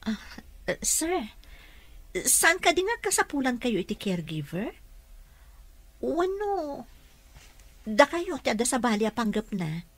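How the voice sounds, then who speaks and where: A woman's voice: sits high, very animated, unhurried, long pauses, no echo, exaggerated voice. A woman playing a caring but frank single mother and nurse in an Ilocano radio comedy-drama. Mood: polite, frank.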